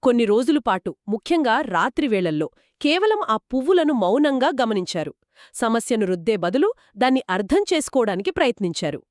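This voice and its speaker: A woman's voice: fairly high pitch, big pitch swings, fairly quick, dry recording, clean studio sound. A woman co-hosting a conversational discussion of a story, explaining it as a storyteller would. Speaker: podcast co-host.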